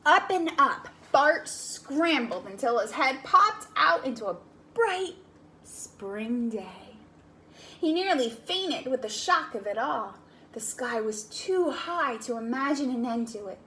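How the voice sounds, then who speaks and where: A woman reading a picture book aloud to a child in slow, expressive narration. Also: high voice, a little hiss or hum, low-quality recording. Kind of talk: storybook read-aloud.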